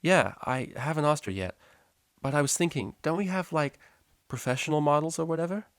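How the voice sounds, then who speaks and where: Man as a blunt, moody unicorn girl, raised pitch. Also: animated, a little fast, dry recording, clean studio sound. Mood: casual. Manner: curt, slangy. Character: moody young female unicorn DJ student, blunt, defensive.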